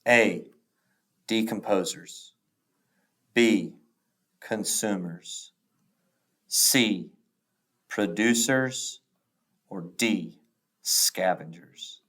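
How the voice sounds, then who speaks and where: A man speaking as a teacher, reading test questions aloud in a deliberate, instructional way with pauses between the choices. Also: on the low side, a bit bright.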